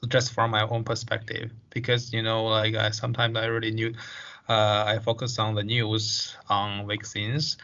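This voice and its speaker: Young man, twenties, bright forceful voice, hesitant with fillers, dull lo-fi sound. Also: lowish voice, monotone, normal speed. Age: 20s. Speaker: interviewee. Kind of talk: casual interview answers.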